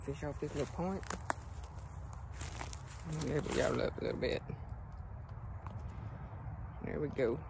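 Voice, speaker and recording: A young man with a drawl films himself fishing at a pond and talks to his viewers in an easy, laid-back way. His voice sits a bit high. The outdoor sound is loud and noisy. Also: takes it slowly, creaky, long pauses. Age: young adult. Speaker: fishing vlogger. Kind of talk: casual outdoor vlog talk.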